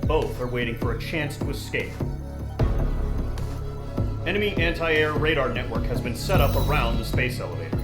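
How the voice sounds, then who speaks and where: An actor playing a businesslike military officer, giving a mission briefing in a measured, authoritative, formal way. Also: male voice, mid-range voice, animated, natural voice. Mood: formal, serious. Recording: roomy echo, loud music behind.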